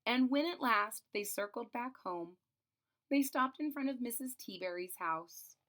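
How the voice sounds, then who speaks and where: A woman's voice: fairly high pitch, animated, unhurried, fairly bright tone. A woman reading a children's storybook aloud expressively, drawing out phrases and adding explanatory asides about the pictures. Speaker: storybook reader and channel host.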